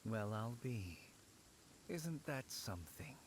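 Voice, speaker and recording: A cryptic shrine keeper from a video game, mid-pitched and wry. He muses in measured, formal phrases with a calm philosophical air. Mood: wry, musing.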